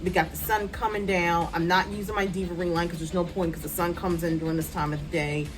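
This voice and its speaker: A woman in her thirties, a writer, chatting casually to her phone's front camera at home, loud-voiced and rambling with many ums and asides. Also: fairly low pitch, level tone, quick pace, background noise. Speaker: writer vlogger. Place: hot room at home with a fan.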